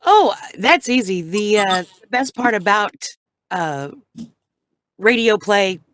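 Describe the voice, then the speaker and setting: A woman's voice: medium pitch, expressive, slow, fairly bright tone, dry recording. A middle-aged American woman, a professional voice actor, chatting casually on a panel. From United States.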